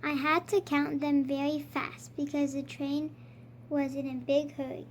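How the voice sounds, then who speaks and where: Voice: high voice, level tone, unhurried. A child reading a children's picture book aloud as a storyteller, with faint noise in the recording. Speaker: storybook reader.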